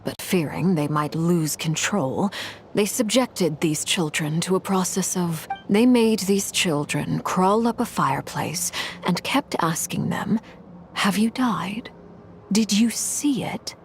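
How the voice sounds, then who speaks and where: Low-voiced, grave young woman harbinger. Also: expressive, a little hiss or hum. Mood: measured, serious. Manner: measured, grave, expository storytelling. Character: brooding young woman, harbinger wielding a corrupting blood flame. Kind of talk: dramatic video game voice acting.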